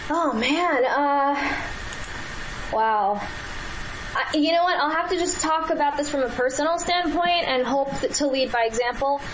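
A woman with a high-pitched voice, a radio interview guest who answers earnestly, with many fillers and restarts. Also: unhurried, slight background noise, rough recording.

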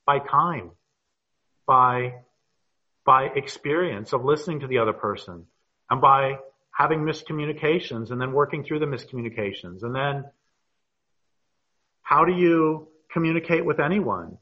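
A man's voice: medium pitch, animated, normal speed, long pauses. A man speaking thoughtfully as a panel guest on a video call, telling stories unhurriedly. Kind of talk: reflective talk on an online call. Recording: muffled video call audio.

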